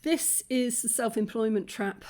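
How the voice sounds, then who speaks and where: A woman hosting a reaction video with a croaky voice, talking in an outspoken, indignant way with plenty of fillers. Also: a little high, unhurried, darkish tone. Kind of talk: casual reaction commentary.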